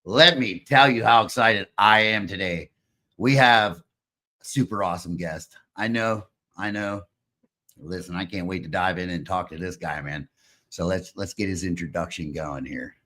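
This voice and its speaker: A man hosting a live podcast, chatting casually in an excitable, rambling way on a video call. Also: deep voice, moderate pace. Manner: excitable, rambling, says "dude" and "bro". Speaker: podcast host. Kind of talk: casual chat.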